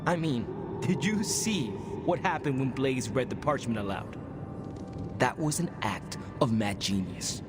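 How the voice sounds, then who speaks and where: An actor playing a brash but insecure young hero in a video game, talking in a casual, slangy, expressive way. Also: male voice, medium pitch, unhurried, heavy background noise, natural voice. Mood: enthusiastic. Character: eager young vengeance-spirit hero, brash yet insecure. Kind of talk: video game cutscene dialogue.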